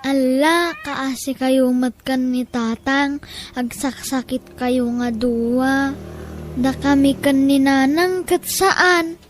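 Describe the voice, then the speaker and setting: A woman's voice: high voice, steady tone, slow, a little hiss or hum. A woman playing an innocent, dutiful young grandchild in an Ilocano audio drama, childlike and earnest, with an Ilocano Filipino accent. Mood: earnest, concerned. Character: dutiful, innocent young grandchild looking after sick parents. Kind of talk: Ilocano radio-style audio drama acting.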